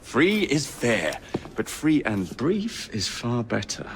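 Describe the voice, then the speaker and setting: A man's voice: mid-range voice, very animated, slow pace, background noise. A man playing a brash, impatient, sardonic young Victorian assassin in a video game. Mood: wry. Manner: wry, sarcastic, brash. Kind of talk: video game cutscene acting.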